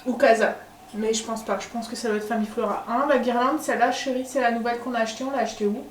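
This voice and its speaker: A woman vlogging casually from home, with a stuffy nose from a cold, chatting with lots of filler pauses. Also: fairly high pitch, steady tone, echoey room, slight background noise. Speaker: family vlogger. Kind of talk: casual home vlog chat.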